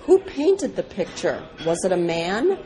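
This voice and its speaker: A woman reading the part of a proud, boastful lion in a storybook fable, in a deliberate manner. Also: fairly low pitch, very animated, warm tone, a little hiss or hum. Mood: challenging. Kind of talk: storybook read-aloud.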